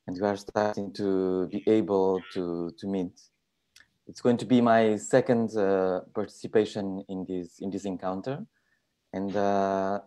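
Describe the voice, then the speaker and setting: A man introducing himself informally as a teacher, in a halting way with repeated words. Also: lowish voice, mellow. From Germany. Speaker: festival teacher.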